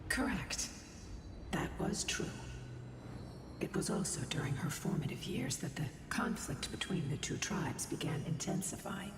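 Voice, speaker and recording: A woman playing an ancient, solemn and wistful winged guardian in a video game, with a breathy voice and grave, measured storytelling. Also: low-pitched, distant mic, noisy background. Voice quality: breathy, whispery. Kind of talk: video game dramatic dialogue. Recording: voice in long echoing reverb, music behind. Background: game music.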